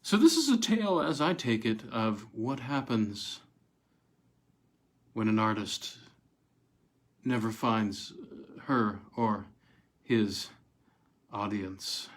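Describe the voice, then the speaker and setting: A man narrates an old piece of short fiction in a dramatic storytelling style, phrasing deliberately with pauses. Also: on the low side, expressive.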